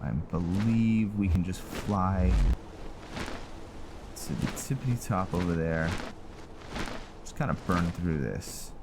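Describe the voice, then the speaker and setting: A man giving casual commentary on his own video game playthrough, musing and halting as he thinks aloud. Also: deep voice, animated, noisy background. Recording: loud game music behind the voice. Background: loud game music.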